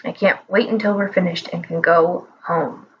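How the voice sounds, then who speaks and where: A woman's voice: fairly low pitch, fairly even intonation, normal speed, muffled recording, slight background noise, pitched below the performer's own voice, close to an everyday voice. Woman doing a grumbling old wizard. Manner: grumbling, whiny. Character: grumpy pudgy old male wizard.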